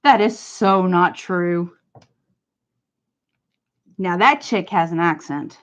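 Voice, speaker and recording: A woman's voice: fairly low pitch, slow pace. A woman with a northern US accent chatting casually on a livestream, rambling and laughing often. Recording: dull lo-fi stream audio.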